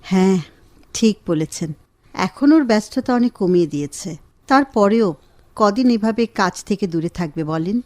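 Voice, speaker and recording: A woman plays an affectionate, grateful wife in a radio drama. Her voice is dark and soft at an average pitch, recorded dry. She speaks with gentle politeness. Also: lively intonation, normal speed, dry recording, lightly acted. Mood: grateful, reflective. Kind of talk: Bengali radio drama acting.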